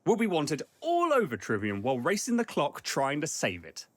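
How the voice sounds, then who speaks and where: A man's voice: a little high, wildly swooping pitch, normal speed, fairly bright tone, no echo, heavily acted. A man playing a principled, anxious young companion in a comedy audio drama. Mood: objecting.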